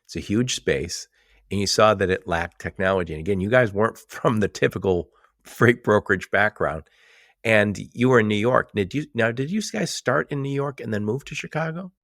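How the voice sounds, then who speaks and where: A man hosting a podcast interview, asking brisk, friendly questions and summing up his guest's points. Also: on the low side, fairly bright tone, dry recording, clean studio sound. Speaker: podcast host.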